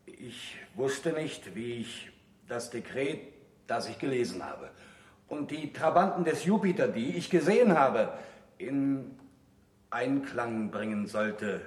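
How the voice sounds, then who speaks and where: An actor playing an earnest, troubled young monk in a German radio play, speaking formally and deliberately. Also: male voice, medium pitch, expressive. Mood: earnest, restrained. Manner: formal, deliberate, rhetorical delivery. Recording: echoey room, old radio play.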